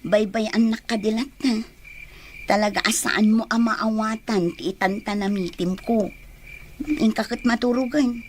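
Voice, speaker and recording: A woman's voice: on the high side, fairly even intonation, normal speed, a little hiss or hum, lightly acted. A woman acting a troubled young woman in a radio drama, murmuring anxiously to herself. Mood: brooding.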